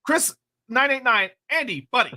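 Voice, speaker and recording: Young guy on a stream, high bright voice, rambly and excited, drops f-bombs, reading chat questions. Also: normal speed. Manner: rambling, excitable, swears casually. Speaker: co-host reading viewer questions. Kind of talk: casual live-stream chat.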